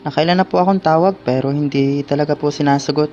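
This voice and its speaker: A man's voice: on the high side, steady tone, fast, darkish tone, close to an everyday voice. Man playing a dutiful teenage brother, muffled recording. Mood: reassuring, dutiful. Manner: polite, deferential to elders. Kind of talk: amateur radio drama acting. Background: background music.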